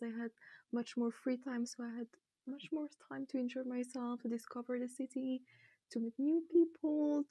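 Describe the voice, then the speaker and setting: A woman's voice: high voice, no room sound. A young woman from Morocco whose first language is Arabic, chatting in an interview with frequent laughter and playful quoting of others, on a lapel mic. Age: young adult. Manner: laughs often, animated, quotes people playfully. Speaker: interviewee, newcomer. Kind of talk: casual interview talk.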